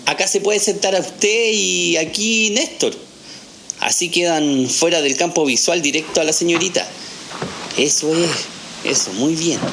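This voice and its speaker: A man's voice: fairly high pitch, unhurried, fairly bright tone. An actor playing a courteous, methodical male technician in an audio drama, giving short instructions in a deferential, procedural way. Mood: courteous, obliging. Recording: clearly noisy recording.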